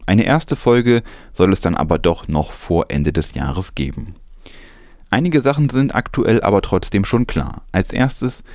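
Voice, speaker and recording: A man's voice: low-pitched, a little mellow, no echo, clean studio sound. A man presenting scripted news with some commentary.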